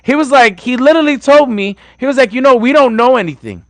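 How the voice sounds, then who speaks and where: A man's voice: very high-pitched, quick pace, dry recording. A man co-hosting a podcast, talking in a rowdy, joking way and swearing a lot. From Puerto Rico. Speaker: podcast co-host. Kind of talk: casual profane banter.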